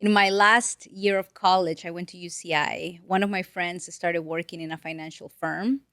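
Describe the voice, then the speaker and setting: A woman's voice: lowish voice, moderate pace, no room sound, studio quality. A woman from Peru, a native Spanish speaker in her late twenties, telling her story earnestly as a guest financial advisor. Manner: earnest, animated storytelling with restarts. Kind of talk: casual interview talk.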